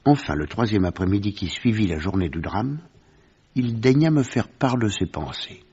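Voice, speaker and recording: Gentleman narrating a detective story on french radio, husky lowish voice, vivid and formal, animated, a bit muffled. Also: normal speed. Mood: narrating, suspenseful. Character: Doctor Watson as narrator, gentleman recounting a detective mystery. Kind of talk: scripted literary narration for radio mystery.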